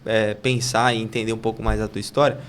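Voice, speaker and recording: A man hosting a casual podcast interview, chatty and upbeat, running through sponsor thanks and warmly drawing out his guest. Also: lowish voice, level tone, quick pace. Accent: Brazilian Portuguese. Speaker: podcast host.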